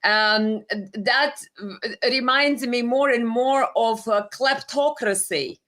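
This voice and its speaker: A woman's voice: fairly high pitch, slow, a bit bright. A woman with a Ukrainian accent, hosting a political interview and talking in an emphatic, opinionated way. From Soviet Ukraine. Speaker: interview host.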